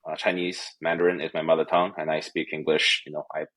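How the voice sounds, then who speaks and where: A man with a very low, husky voice talks as a podcast guest in a relaxed, conversational way. He often pauses with fillers and restarts his phrases while working out what to say. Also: low-quality recording. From Canada (Calgary). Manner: hesitant, many fillers and restarts. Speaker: guest, company executive. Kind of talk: casual interview conversation. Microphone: remote recording.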